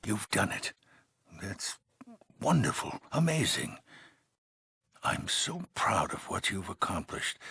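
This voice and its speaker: An actor playing a weary, grave older leader of an underground vault in a video game, speaking in a measured, solemn way with heavy pauses. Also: male voice, sits low, bright, forceful tone, heavily acted. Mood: grave, moved. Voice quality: gravelly, husky. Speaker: overseer of a vault community. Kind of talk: dramatic video game dialogue.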